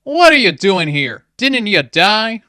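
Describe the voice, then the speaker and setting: A woman voicing an excitable, blunt young man who yelps and exclaims. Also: low-pitched, moderate pace, no room sound, heavily acted. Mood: agitated. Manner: yelping, blunt.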